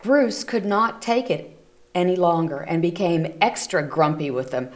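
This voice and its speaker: A woman reading a picture book aloud to children, gently explaining the pictures as she goes. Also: lowish voice, a little slow. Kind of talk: read-aloud storytelling for children. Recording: community TV recording.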